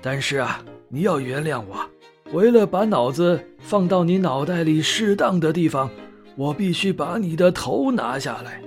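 A man voicing a kindly but self-important old wizard in a children's storybook, with hesitant, drawn-out phrasing. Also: on the high side, expressive, soft background music, pitched above the performer's own voice. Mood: hesitant, explaining.

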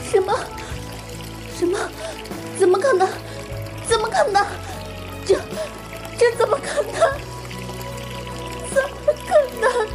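A woman's voice: very high-pitched, slow, pauses often, music in the background. A young woman playing an anguished, self-sacrificing older sister in an audio drama. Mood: distraught. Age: young adult. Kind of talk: Chinese audio drama acting.

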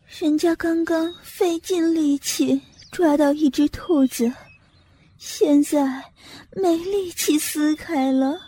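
A woman's voice: high voice, steady tone, slow, dark tone. A woman playing a coquettish, scheming young female fox spirit in a Chinese audio drama, cooing and catty. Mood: simpering.

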